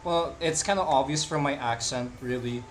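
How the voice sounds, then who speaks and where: A man's voice: medium pitch, moderate pace, soft background music. A young man from the Philippines chatting casually with viewers while he draws live, rambling with lots of fillers. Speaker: live-drawing streamer. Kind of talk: casual live-stream chat while drawing.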